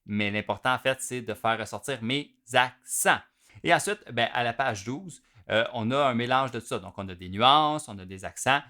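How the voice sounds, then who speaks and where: A man with a Quebec French accent teaching drums in a relaxed, explanatory way, with plenty of fillers. His voice sits at an ordinary middle pitch. He talks through the material informally and stops between phrases to play. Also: moderate pace. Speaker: drum teacher. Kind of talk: instructional video lesson.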